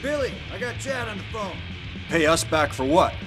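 An actor playing a wary, blunt but loyal biker in a video game cutscene. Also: male voice, high-pitched, sing-song, moderate pace, music in the background.

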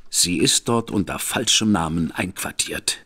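An actor playing a reserved, earnest gentleman who speaks in a measured, formal way. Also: low voice, expressive, slight background noise, heavily acted. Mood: grave, earnest. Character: grave, guarded detective's brother, reserved gentleman. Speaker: Mycroft Holmes. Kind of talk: radio play acting.

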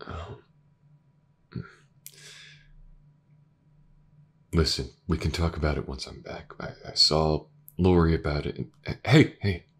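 A man playing a gentle, reassuring detective in an intimate audio roleplay, speaking softly and hesitantly, often near a whisper. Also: rumbling low, moderate pace, husky, heavily acted. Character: gentle, reassuring male detective with an injured eye.

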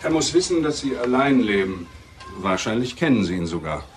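An actor playing a terse, probing police inspector with clipped questions in a radio play. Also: male voice, medium pitch, very animated, a little fast, music in the background, lightly acted. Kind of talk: German crime radio play acting.

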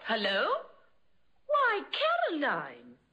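A flustered older lady in a vintage radio play. Her voice is bright and leaps up and down dramatically. She sounds hesitant and fearful. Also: a little high, huge pitch swings, slow pace, dull audio, exaggerated voice. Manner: fluttery, hesitant. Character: flustered, fearful older woman living at home. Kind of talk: old radio drama acting.

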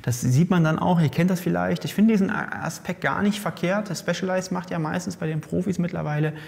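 A male host in casual expert conversation, lively and explaining, often restarting mid-sentence, in a bike fitting lab. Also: high voice, brisk, vocal fry. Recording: long reverb in a lab room.

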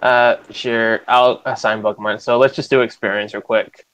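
A man's voice: medium pitch, fairly even intonation, normal speed, a bit bright, clear, resonant tone, highs cut off. A young man in casual game chat, acting as game master for an online tabletop session. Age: eighteen.

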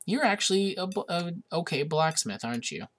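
A man's voice: sits high, very animated, brisk, fairly bright tone. A young man narrates his strategy game in a casual, rambling way, stopping often on "uh" and "um." He is getting over a cold and sounds a little sniffly. A faint hiss and the click of a mouse sit under his voice. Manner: chatty, hesitant with many uhs, amused. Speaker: gaming video host. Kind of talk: casual let's-play commentary.

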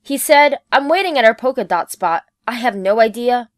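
A woman playing a brisk, practical female caretaker in an amateur online radio drama. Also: on the high side, lively intonation, noisy background, natural voice. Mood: matter-of-fact.

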